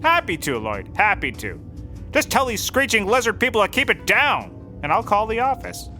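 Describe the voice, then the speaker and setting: A man's voice: high voice, big pitch swings, moderate pace, sharp tone, faint music behind, full character voice. A man playing a deluded, addled slacker detective in a comedy audio drama, rambling and stammering erratically. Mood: indignant.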